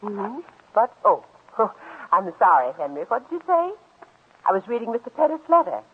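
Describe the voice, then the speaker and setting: A woman's voice: mid-range voice, very animated, normal speed, a little hiss or hum, lightly acted. An actress playing a genteel, pleasantly evasive small-town woman with a polite, airy manner in 1940s radio drama. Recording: tinny, muffled old radio recording.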